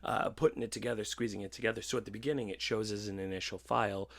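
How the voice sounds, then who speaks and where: A man with a low, creaky voice talks as a podcast co-host. He is casual and agreeable, feeling his way through rambling thoughts. His language is loose and conversational. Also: steady tone, fast talker, a bit bright. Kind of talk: casual chat.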